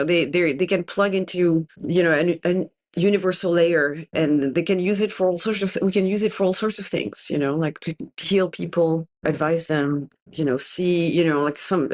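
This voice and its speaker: French-accented woman telling a lively story. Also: lowish voice, normal speed, few pauses. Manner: lively, animated storytelling with frequent restarts. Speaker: guest, tarot reader. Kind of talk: casual interview talk.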